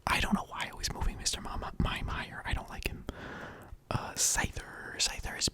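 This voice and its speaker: A person whispering casual, rambling ASMR-style commentary as a video host, in a hesitant and playful manner. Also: moderate pace. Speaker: video host ranking game characters.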